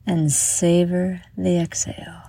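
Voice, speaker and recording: A woman guiding a meditation from a script in a soothing, measured manner, with breathy exhaled phrases. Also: fairly low pitch, flat delivery, mellow. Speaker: meditation guide and host. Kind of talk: guided meditation, scripted narration.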